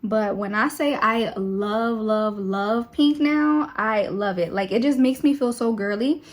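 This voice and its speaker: Chatty young woman, relaxed and easygoing. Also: on the high side, unhurried. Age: 20s. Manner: chatty and relaxed, with many fillers. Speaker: beauty vlogger. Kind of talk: casual chat while doing makeup.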